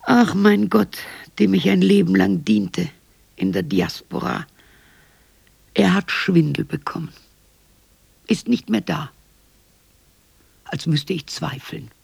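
An actor playing a broken, bitter old man in a radio drama monologue, hoarse and halting, often breaking into whispers. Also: high voice, lively intonation, no room sound, exaggerated voice. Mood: anguished lament.

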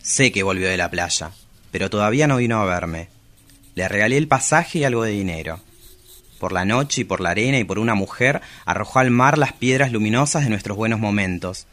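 A man's voice: lowish voice, animated, fairly quick, fairly bright tone. Actor as vain, melodramatic man, swooping voice. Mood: wistful. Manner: theatrical, self-dramatizing monologue. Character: vain, melodramatic man pining for his costly lover. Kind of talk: radio drama acting.

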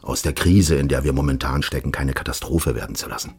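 An actor playing a secretive, quietly menacing official in a radio crime drama, measured, formal and insinuating. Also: male voice, very deep voice, breathy edge, exaggerated voice. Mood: controlled, secretive. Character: smooth-mannered, menacing, secretive official recruiting a detective.

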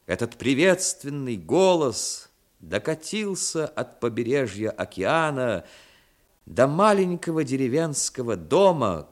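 A man narrating a 1960s radio play as a solemn, expressive storyteller. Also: mid-range voice. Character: solemn storyteller of a seaside tale. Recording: 1960s radio recording with music. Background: music.

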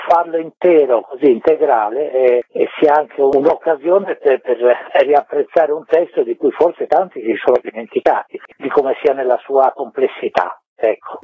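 A husky-voiced man speaks over a thin telephone line with very little room sound. His pitch sits in the middle, and he explains things expansively, in the conversational way of a director being interviewed. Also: no room sound. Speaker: theatre director, interview guest.

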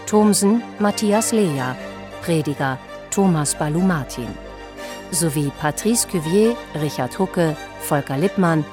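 Slow-paced female announcer over music. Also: sits low, animated. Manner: measured, formal. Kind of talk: scripted credits reading.

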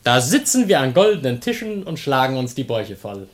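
A man's voice: medium pitch, very animated, fairly quick, lightly acted. A male actor playing a bold, jovial, mocking Greek man in a German radio comedy, declaiming theatrically with sardonic relish. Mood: smug, savouring.

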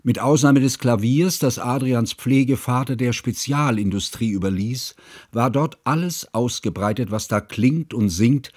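An actor playing a bookish, self-conscious scholar who recalls his youth in measured, ornate literary narration for a radio play. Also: mid-range voice, a little mellow, breathy edge. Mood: admiring. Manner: measured, formal, ornate phrasing. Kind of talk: literary first-person narration, German radio drama.

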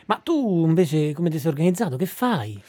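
An actor playing a bossy, deadpan Roman sidekick in a comic stage piece, with a Roman Italian accent and mock-solemn delivery. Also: male voice, on the high side, moderate pace. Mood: casual. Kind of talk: theatrical comic stage performance for radio.

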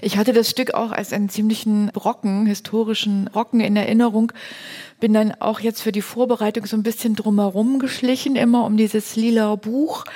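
A woman's voice: mid-range voice, level tone, moderate pace, mellow. A woman hosting a moderated talk on stage, speaking in an articulate, structured presenter's manner. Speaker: host, moderator. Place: hall with a live audience.

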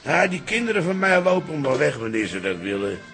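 An actor playing a proud, grumbling older father in a radio drama, folksy and opinionated, with a gravelly voice. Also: a little high, very animated, fairly quick, fairly bright tone, faint music behind. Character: proud, grumbling old father keeping the business going. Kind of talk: Dutch radio drama acting.

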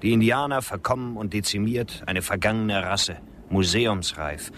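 An actor in a German radio drama playing a worldly, detached gentleman who lectures politely. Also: fairly low pitch, moderate pace, slight background noise, lightly acted. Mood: coolly resigned. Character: worldly, matter-of-fact gentleman champion of progress.

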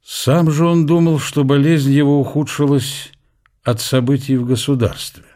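A man's voice: fairly high pitch, little pitch movement, normal speed, dark tone. A man reading reflective scripted narration of a character's thoughts in a historical radio drama.

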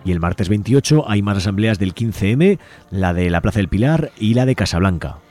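Low-voiced Spanish man hosting radio. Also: expressive, moderate pace, darkish tone, faint music behind. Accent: Spanish from Spain. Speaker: radio show host. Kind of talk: reading event listings on a community radio show.

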